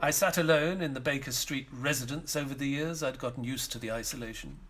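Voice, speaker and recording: An actor playing a loyal, melancholy doctor, narrating an audio play in a measured, wistful manner. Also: male voice, mid-range voice, fairly bright tone, faint music behind, close to an everyday voice. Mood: wistful, sombre. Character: British doctor, loyal, melancholy friend narrating his memories.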